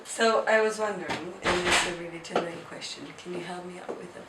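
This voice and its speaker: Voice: medium pitch, fairly even intonation, fast talker, rough recording. An off-camera interviewer putting questions in a conversational way. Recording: loud outdoor background noise.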